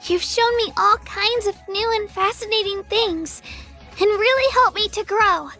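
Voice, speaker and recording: A woman's voice: very high voice, a little slow, pushed and bright, soft background music, exaggerated voice. A woman voicing an earnest, eager young girl adventurer in a video game, girlish and sincere, easily flustered. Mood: earnest, determined. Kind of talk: video game character voice lines.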